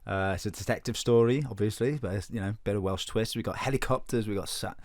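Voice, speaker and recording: A man's voice: fairly low pitch, fast, darkish tone, dry recording. A man chatting casually about the production, matey and joking, with many restarts. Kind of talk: casual chat.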